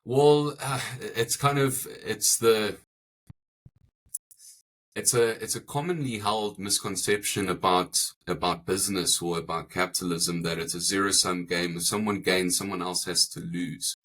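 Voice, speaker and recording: Man, English South African accent, deep husky voice, insurance broker, thoughtful, repeats himself. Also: steady tone, unhurried, fairly bright tone. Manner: thoughtful, repeats words as he builds his point. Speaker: guest, family insurance broker. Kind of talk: interview conversation.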